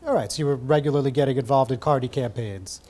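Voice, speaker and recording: A man's voice: medium pitch, fast, warm tone. A man hosting a local political talk show, chatting casually with lots of fillers. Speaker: TV news talk show host.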